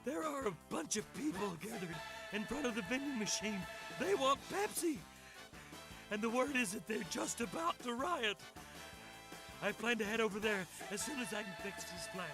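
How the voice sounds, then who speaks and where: Stranded delivery man, high-voiced and imploring, video game cutscene with music behind. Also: animated, moderate pace. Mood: urgent, pleading. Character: stranded vending machine delivery man pleading with a superhero.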